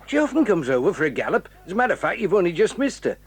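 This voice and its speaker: Folksy, high-pitched circus man. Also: very animated, very fast, husky, full character voice. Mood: genial. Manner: folksy, easy-going, obliging. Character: friendly, plain-spoken circus man, chatty with children. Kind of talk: 1980s children's audio drama acting.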